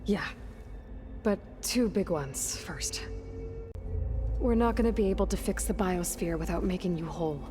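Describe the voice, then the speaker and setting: An actress playing a determined, pragmatic young hunter heroine in a video game, talking in a direct, dry, businesslike way. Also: female voice, lowish voice, measured pace, long pauses, over music, natural voice. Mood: determined, questioning. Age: young adult. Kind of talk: naturalistic video game acting.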